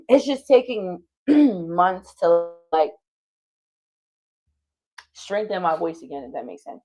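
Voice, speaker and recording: A woman's voice: lowish voice, lively intonation, slow pace, long pauses, darkish tone, slightly rough, rough recording. A woman hosting a live stream and chatting casually as a singer, loose and slangy with lots of swearing. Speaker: streamer host.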